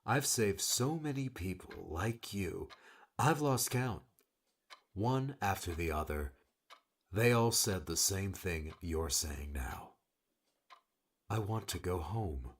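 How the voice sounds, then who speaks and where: Audiobook role: weary, blunt male savior played by a man, very low and raspy, sardonic with a hidden soft spot. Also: animated, slow, long pauses, heavily acted. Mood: exasperated. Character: weary, brusque male rescuer, frustrated, secretly caring. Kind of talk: dramatic audiobook character dialogue.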